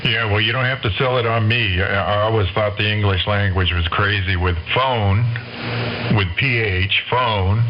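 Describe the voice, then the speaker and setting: Low-voiced man on hissy ham radio. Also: normal speed. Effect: shortwave radio transmission. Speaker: amateur radio operator. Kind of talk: casual rag-chew radio chat. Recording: muffled, hissy amateur radio signal.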